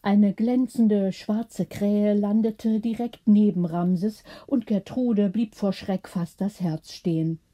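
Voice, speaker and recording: A woman reads a bedtime story in a very dark, mellow voice with a husky grain. She takes her time, delivering scripted narration as an audiobook narrator. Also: mid-range voice, steady tone, slow pace, hardly any pauses.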